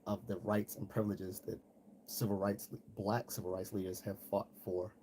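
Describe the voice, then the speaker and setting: A man's voice: on the low side, level tone, normal speed, warm tone. An American man hosting a live stream, giving a casual, blunt, conversational monologue with some slang. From United States. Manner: blunt, conversational, slangy, mimics people he quotes. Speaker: host of a live commentary stream. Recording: noticeable background noise.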